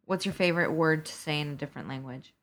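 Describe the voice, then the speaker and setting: A woman whose first language is Albanian, co-hosting a podcast and reading listener questions in a relaxed, hesitant way with many fillers. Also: deep voice, fast talker. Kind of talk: casual chat.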